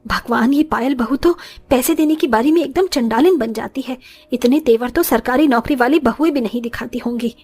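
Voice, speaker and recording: An actress plays a middle-aged Indian mother-in-law in a family cartoon. Her voice is somewhat high, and she talks in grumbles and exclamations like a put-upon matriarch. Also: normal speed, dark tone, slightly rough, soft background music. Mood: grumbling. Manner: muttering complaints, exclaiming. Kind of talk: moral story cartoon acting.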